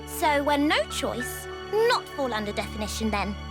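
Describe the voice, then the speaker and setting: A woman's voice: a little high, animated, moderate pace, music in the background, close to an everyday voice. A woman voicing a small, naive, food-loving female creature in a video game, speaking childlike broken English in the third person. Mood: curious, pondering. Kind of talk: video game cutscene acting.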